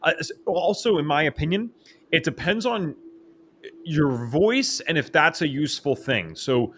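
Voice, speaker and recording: A man hosting a podcast interview from a home office, talking in an excitable, fast way. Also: on the high side, a little hiss or hum. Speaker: podcast host. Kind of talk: casual interview chat. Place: home office, empty spare bedroom. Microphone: studio microphone.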